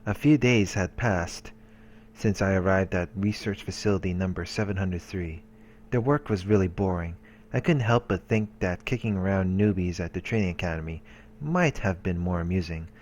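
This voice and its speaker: A man with a low voice reads visual novel narration aloud as a let's player, dropping in casual asides. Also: moderate pace, darkish tone, a little hiss or hum. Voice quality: low-pitched. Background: game music.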